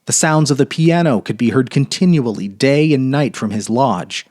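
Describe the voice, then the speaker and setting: A man narrating a short story as a dramatic reading, with theatrical, measured phrasing. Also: mid-range voice, a little mellow.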